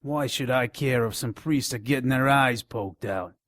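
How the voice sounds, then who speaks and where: A man's voice: medium pitch, normal speed, a bit bright, no room sound, studio quality, close to an everyday voice. A man playing a crude, taunting nonhuman pawn shop dealer in an audio drama. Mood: scornful, sly. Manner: taunting, contemptuous.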